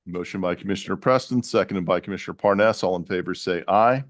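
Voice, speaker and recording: A man chairing a city commission meeting in a brisk, procedural way. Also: deep voice, dry recording. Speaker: presiding officer.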